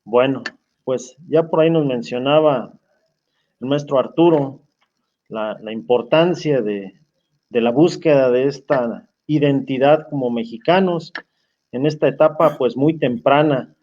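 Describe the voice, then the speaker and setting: A man moderating a virtual panel, handing over to speakers in a relaxed way with small pauses. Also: medium pitch, lo-fi sound. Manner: easygoing, introduces speakers with filler pauses. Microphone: video call.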